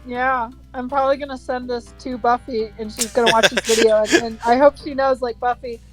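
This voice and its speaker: Young lady co-hosting a silly reading, kinda high voice, casual, swears, acts all wronged. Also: steady tone, a little fast, faint music behind, low-quality recording. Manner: sweary, mock-aggrieved. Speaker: co-host of a dramatic reading. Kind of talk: dramatic reading with casual joking commentary.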